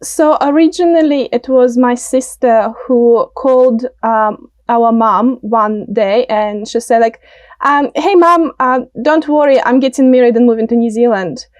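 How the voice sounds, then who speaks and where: A woman's voice: a little high, measured pace, no echo. A woman in her late twenties from Russia, with a Russian accent, giving conversational interview answers and often searching for words. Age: late 20s. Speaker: interview guest, political candidate.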